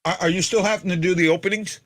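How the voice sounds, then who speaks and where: A man with a deep voice hosting a casual fan podcast, rambling with stumbling restarts. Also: steady tone, rapid-fire. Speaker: podcast host.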